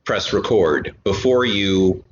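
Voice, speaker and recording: A man with a fairly low voice chats as a podcast guest. He is talkative and keeps rewording his thoughts. The recording is roomy, slightly muffled and faintly noisy. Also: animated, slow pace. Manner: talkative, repeats and rephrases himself. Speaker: podcast guest, audio drama creator. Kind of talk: casual conversational interview. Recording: roomy, dull lo-fi, faint noise.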